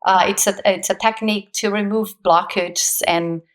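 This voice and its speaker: A woman's voice: medium pitch, moderate pace. Brazilian woman telling her story. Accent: Brazilian Portuguese. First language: Portuguese. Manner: animated storyteller, laughs often, acts out quoted voices. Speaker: guest, actress. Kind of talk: casual interview conversation.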